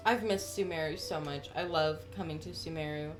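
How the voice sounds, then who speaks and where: A woman's voice: low-pitched, fairly even intonation, a little fast, music in the background. A woman casually commentating a game livestream and chatting with viewers, enthusiastic and full of gamer slang. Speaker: game streamer.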